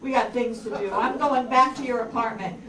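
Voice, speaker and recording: A woman's voice: fairly high pitch, moderate pace, natural voice. Woman as a brash detective in an echoey room. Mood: bossy, urgent. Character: brash, bossy hard-boiled detective, slangy and wry. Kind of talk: amateur radio detective play reading. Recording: far, echoey room with clear noise.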